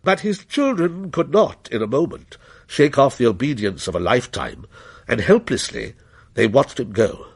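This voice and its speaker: A man's voice: mid-range voice, sing-song, moderate pace, vocal fry, slightly rough. A man narrating a ghost story in a dramatic, literary style.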